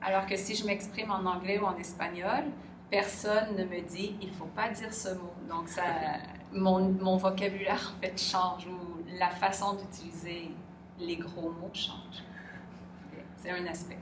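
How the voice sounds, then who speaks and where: A middle-aged Québécoise woman with a Québécois French accent, answering interview questions thoughtfully and pausing often to search for her words. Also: lowish voice, level tone. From Quebec. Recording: echoey room, distant mic, background noise.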